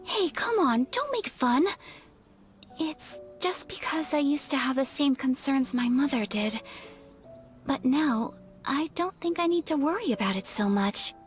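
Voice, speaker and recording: A woman playing a refined, sincere young noblewoman in a video game, speaking politely and gently. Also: sits high, animated, unhurried. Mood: earnest, hopeful. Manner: polite, soft-spoken, poetic. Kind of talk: video game character acting. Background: faint music.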